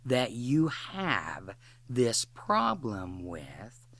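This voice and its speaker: A woman leading a guided imagery exercise in an instructional way, speaking in deliberate short phrases with long pauses. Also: very deep voice, wildly swooping pitch, fairly bright tone.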